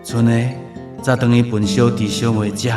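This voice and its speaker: An actor with a Taiwanese accent playing a worn, sickly coal miner father, gruff but caring, halting and plain-spoken. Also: medium pitch, little pitch movement, music in the background, natural voice. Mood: gruff, tender.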